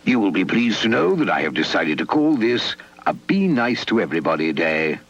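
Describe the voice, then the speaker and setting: A man's voice: lowish voice, big pitch swings, normal speed. A British man voicing a pompous, nasty toy leader in a children's puppet story. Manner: self-important, theatrical. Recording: dull lo-fi 1980s VHS tape.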